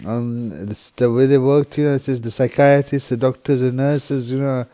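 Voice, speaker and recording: A man from the United Kingdom speaking straight to camera in a halting, subdued way, full of restarts and "you know" fillers. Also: mid-range voice, flat delivery. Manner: subdued and quiet, grieving. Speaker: vlogger. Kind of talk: emotional personal monologue.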